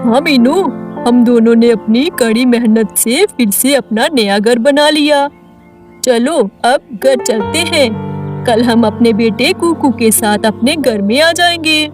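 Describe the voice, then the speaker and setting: A protective father bird in a children's cartoon, read by a woman. The voice is high for an adult, slow and earnest, with a reassuring manner. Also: soft background music. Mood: satisfied. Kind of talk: Hindi children's cartoon story acting.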